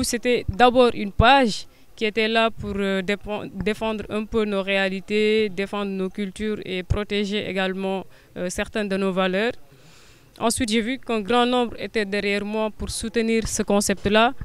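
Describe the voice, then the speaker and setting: Young Senegalese woman, flat, smooth. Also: mid-range voice, moderate pace. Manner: hesitant, many filler sounds, earnest. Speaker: guest. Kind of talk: interview answers.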